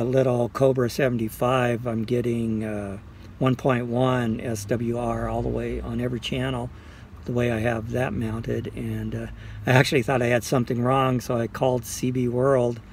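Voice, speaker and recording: A man's voice: medium pitch, a little hiss or hum. A middle-aged man casually walking through a car radio install, talking plainly with fillers, filmed on a phone held close in and beside a small car. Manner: plain, unhurried, talks through each step with fillers. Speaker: vlogger showing a car install. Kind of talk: casual how-to walkthrough. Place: in a small car and outdoors beside it, tree-lined lot. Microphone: phone camera held close.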